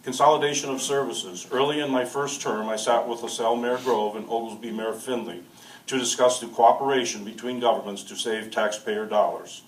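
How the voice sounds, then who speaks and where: A man's voice: medium pitch, fairly even intonation, a little fast. A man reading a prepared opening statement as a mayoral candidate at a public forum. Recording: echoey hall from far off, faint noise.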